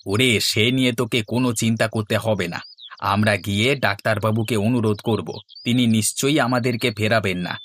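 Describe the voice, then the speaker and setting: A man's voice: lowish voice, fairly even intonation, a little fast, fairly bright tone, slight background noise, natural voice. Man acting a concerned, earnest village friend. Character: concerned, loyal young village friend, reassuring. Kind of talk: Bengali fairy-tale cartoon dubbing.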